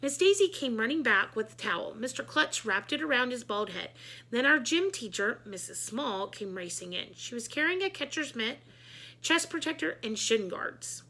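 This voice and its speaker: A woman reading a children's book aloud in an animated, emphatic storytelling style. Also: a little high, normal speed.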